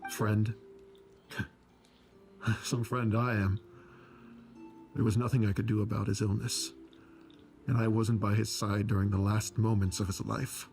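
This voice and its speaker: A soft-hearted, self-blaming scholar from a video game. His voice is on the low side, hesitant and wistful. Music plays clearly beneath his words. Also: little pitch movement, a little slow, pauses often. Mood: stunned, regretful.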